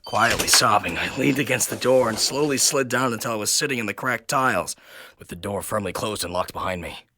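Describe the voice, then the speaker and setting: A man reading a first-person horror story in an intense, theatrical manner that swings between hushed and loud. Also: fairly low pitch, fairly quick. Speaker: narrator and reader of a horror story.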